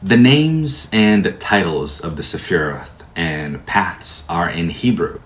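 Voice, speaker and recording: A man whose first language is Portuguese, calmly explaining tarot in a measured, soft-spoken way. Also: low-pitched. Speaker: tarot teacher. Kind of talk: calm explanatory lecture.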